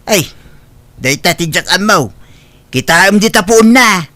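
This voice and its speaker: A woman's voice: mid-range voice, expressive, a little slow, a bit bright. A woman playing a blunt older woman who mocks and grumbles at her friend in a comedic drama. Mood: exasperated. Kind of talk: comedic radio-style drama acting.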